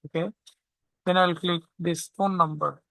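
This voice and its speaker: Slow, flat-toned tutorial instructor with slight creak. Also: medium pitch, no room sound. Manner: checks understanding with frequent "okay?". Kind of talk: step-by-step software tutorial.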